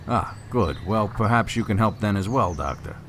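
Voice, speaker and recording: A man's voice: lowish voice, lively intonation, normal speed, a bit bright, slight background noise. A man playing an earnest, slightly hesitant police officer in a radio drama.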